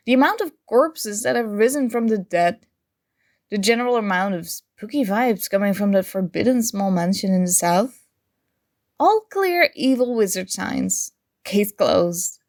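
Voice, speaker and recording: A woman playing a playful, nerdy young gamer chatting with her crush in a casual, teasing ASMR roleplay. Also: on the high side. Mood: earnest, playful. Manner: teasing, animated, conversational. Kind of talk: ASMR roleplay acting, casual conversational chat.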